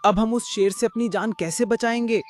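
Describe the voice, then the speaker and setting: A man's voice: high voice, fairly even intonation, moderate pace, faint music behind, lightly acted. A man voicing a clever, loyal male donkey in a cartoon story, earnest and crafty. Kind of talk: cartoon voice acting.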